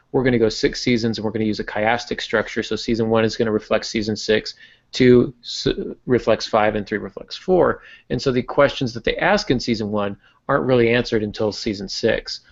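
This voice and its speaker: A man's voice: on the low side, steady tone, a little fast, muffled recording. A man hosting a podcast in a casual teaching discussion, analytical and posing questions that he then answers. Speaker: podcast host.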